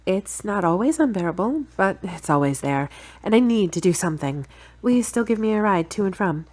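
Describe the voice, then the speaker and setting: A woman playing a level-headed, gently teasing girlfriend in an audio drama. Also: mid-range voice, animated, brisk, lightly acted. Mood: uneasy.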